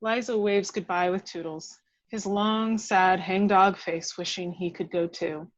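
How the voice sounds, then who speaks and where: A woman's voice: mid-range voice, steady tone, a little slow, dry recording. A woman reading dramatic action descriptions as scripted narration at a table read, on a lo-fi recording.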